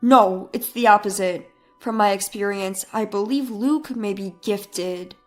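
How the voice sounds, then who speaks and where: A woman's voice: medium pitch, measured pace, natural voice. A woman reads an earnest, encouraging schoolteacher in a narrated story. Faint music plays behind the lines. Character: encouraging, clear-spoken schoolteacher. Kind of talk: storybook character dialogue.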